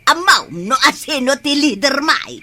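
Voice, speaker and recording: An actress with a Filipino accent playing a teasing, giggly village gossip in a radio comedy drama. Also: female voice, high voice, animated, a little slow, pushed and bright, slight background noise, full character voice. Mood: gleeful.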